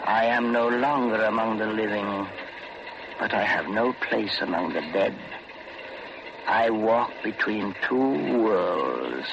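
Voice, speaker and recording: Slow, grave ghost, on noisy old radio. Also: male voice, lowish voice, gravelly. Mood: mournful, solemn. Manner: slow, grave, commanding, deliberate. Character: stern, solemn male ghost walking between two worlds. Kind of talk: 1940s radio horror acting. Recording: muffled, very noisy vintage radio broadcast.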